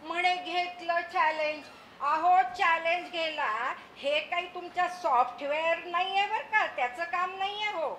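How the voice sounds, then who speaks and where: A woman's voice: very high-pitched, a little slow, fairly bright tone. A woman acting an exasperated, nagging wife and mother in a stage comedy, complaining dramatically and repeating herself for emphasis. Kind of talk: theatrical stage play acting. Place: theatre stage in an auditorium. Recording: echoey auditorium with faint noise.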